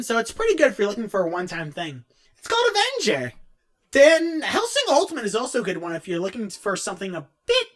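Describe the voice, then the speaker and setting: A woman voicing an excitable, awkward, geeky young male cartoon character who rambles and hesitates as he answers fan questions in character. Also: high-pitched, full character voice. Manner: animated, rambling, hesitant, self-interrupting.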